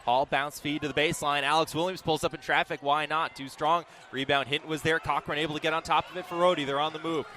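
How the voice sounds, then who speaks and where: A man calling live basketball play-by-play for radio in clipped, descriptive phrases that build to excited calls on big plays. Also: a little high, fast, hardly any pauses. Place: indoor basketball arena. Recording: live arena broadcast with game noise.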